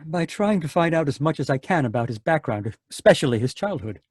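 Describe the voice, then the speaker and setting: A man voices an anxious psychiatrist in a radio play, his voice a little high and husky. He hesitates and stammers, recorded dry and close. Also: sing-song, brisk, darkish tone, no echo. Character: worried male psychiatrist doctor. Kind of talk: old-time radio drama acting. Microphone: home mic on a video call.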